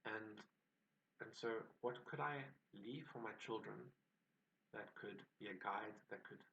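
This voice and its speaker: A man with a South African accent, introducing himself on his own channel in a measured, reflective way. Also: fairly low pitch, a bit bright, far from the mic, dull audio, slight background noise. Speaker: channel host. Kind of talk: personal introduction to camera.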